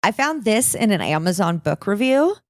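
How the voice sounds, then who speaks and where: A woman's voice: medium pitch, big pitch swings, normal speed, a little mellow, no echo, clean studio sound, faint music behind. A woman co-hosting a true-crime podcast, telling researched stories in an informal, wry way with casual swearing. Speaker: podcast co-host.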